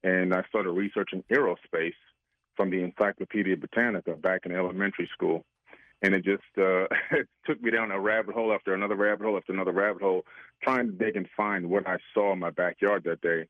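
A man in his fifties talks on a radio call-in, chatty and rambling, laughing easily between thoughts. His voice is low and comes through a tinny phone line. The sound is dry. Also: fairly even intonation, quick pace, dry recording. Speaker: regular guest, researcher.

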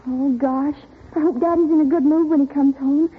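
A woman's voice: high-pitched, fairly even intonation, moderate pace, lightly acted. An actress playing a stagestruck, earnest American teenage daughter in a 1940s radio sitcom, girlish and easily flustered. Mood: earnest, pleading. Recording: tinny, faintly noisy old radio recording.

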